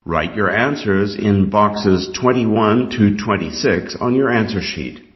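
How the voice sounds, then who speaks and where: A Canadian man reading scripted test material aloud in a deliberate, instructional way. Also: lowish voice. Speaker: reader of an English exam reading passage. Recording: dull, lo-fi sound.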